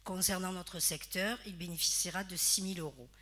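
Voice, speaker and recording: A woman council member reading out a formal report with its figures, steady and formal. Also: lowish voice, fairly quick. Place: municipal council chamber.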